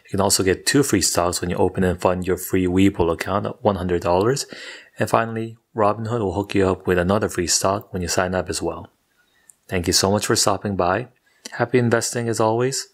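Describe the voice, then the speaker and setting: A young man talking through his investing goals in a scripted video, with a steady, monotone delivery. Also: low-pitched, fairly quick. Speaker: personal finance YouTuber. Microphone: studio microphone off camera.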